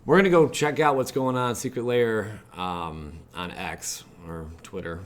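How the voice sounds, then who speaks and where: Low-pitched American man, rambling casually. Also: measured pace. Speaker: gaming channel host. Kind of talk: casual livestream chat, reacting to web pages.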